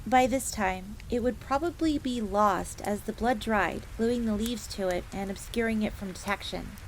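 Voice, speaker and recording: A woman's voice: medium pitch, moderate pace, natural voice. A woman playing a devoted, eager fox maid who tells her story expressively in the third person, as in an audio drama. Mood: earnest storytelling. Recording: faint crackling fire noise behind voice.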